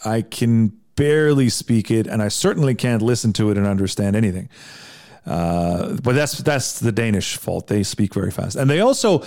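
A middle-aged man from Iceland, a songwriter talking in a relaxed, thoughtful interview with frequent restarts. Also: medium pitch. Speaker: songwriter guest. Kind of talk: casual interview chat.

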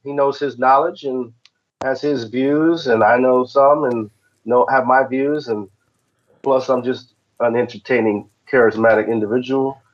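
A man's voice: medium pitch, steady tone, a little slow. Man chatting casually, slightly dull sound. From southern United States. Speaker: podcast co-host. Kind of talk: casual chat.

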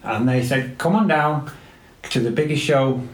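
A man's voice: mid-range voice, measured pace. A man who volunteers at a museum and works as a postman, telling stories in a casual interview and acting out what others said. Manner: warm storytelling, quoting others. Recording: echoey room.

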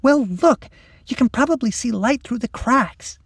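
A narrator voicing a bright, bossy, scheming schoolgirl in an audiobook, excitable and urgent. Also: mid-range voice, measured pace, gravelly, slightly rough, no room sound, studio quality, voice pushed up, full character voice. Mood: bright, teasing.

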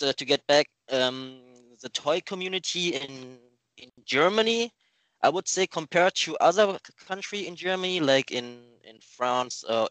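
Man, twenties, from Thailand, halting casual talk, repeats himself, dry close sound. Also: medium pitch, fairly bright tone, dry recording, low-quality recording. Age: 20s. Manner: halting, repeats words, many fillers. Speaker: guest. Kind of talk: casual interview chat.

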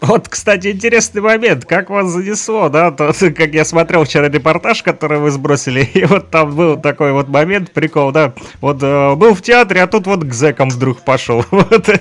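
Guy interviewer, kinda higher voice, chatty and casual, keeps prompting with little questions. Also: moderate pace, few pauses, fairly bright tone.

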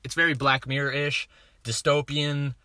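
A man's voice: medium pitch, level tone, normal speed, pushed and bright, dry recording, studio quality. A young man with a slight lisp on his S sounds, co-hosting a podcast and rambling about movies in casual chat, often restarting his sentences. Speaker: podcast co-host.

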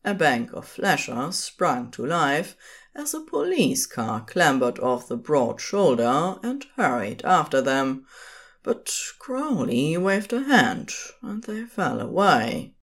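Low-voiced woman narrator, slow and expressive. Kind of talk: scripted fiction narration.

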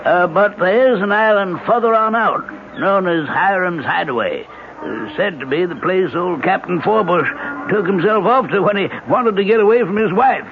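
An actor playing a laconic New England rental agent with a folksy, clipped manner in a 1970s radio drama. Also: male voice, high voice, expressive, normal speed. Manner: folksy, clipped, drawling understatement. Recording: noisy, muffled 1970s radio broadcast.

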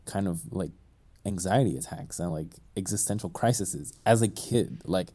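A man in a casual group chat, talking in a halting, reflective way with many fillers. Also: deep voice, dark tone.

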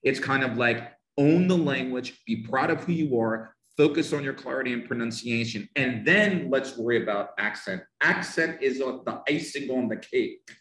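A man's voice: medium pitch, normal speed, hardly any pauses, fairly bright tone, roomy sound, rough recording. A man from the United States with a Northern New Jersey accent, an English pronunciation teacher talking emphatically and passionately on a podcast, often repeating himself for stress.

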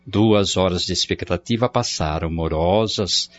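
A man's voice: deep voice, measured pace, lo-fi sound, a little hiss or hum. A man with a Brazilian accent giving formal, dramatic scripted narration for a radio drama.

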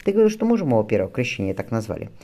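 A fretful, determined mother played by a male reader from a historical novel. She speaks briskly and affectionately, with quick pace and pitch that moves up and down. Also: sing-song, vocal fry, dark tone, husky, full character voice. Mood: brisk, concerned.

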